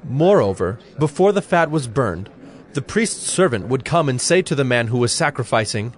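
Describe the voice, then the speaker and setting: A man's voice: mid-range voice, sing-song, normal speed. A man narrating scripture from a script in a solemn style.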